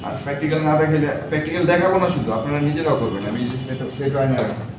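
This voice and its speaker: A man's voice: a little high, racing. A man lecturing a class as a repair instructor, repeating points and often quizzing his listeners. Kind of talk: classroom lecture with check questions. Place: echoey indoor classroom. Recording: very echoey room, far mic, clear noise, dull.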